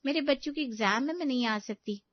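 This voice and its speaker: Casting: woman as reluctant married sister, brisk higher voice, family drama, slightly muffled close recording. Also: no echo. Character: reluctant, busy married sister on a phone call. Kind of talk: Hindi family story acting.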